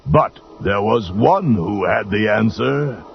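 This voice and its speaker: A man's voice: on the low side, expressive, slow, creaky, highs cut off. A man giving dramatic scripted storybook narration. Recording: band-limited old readalong tape with music.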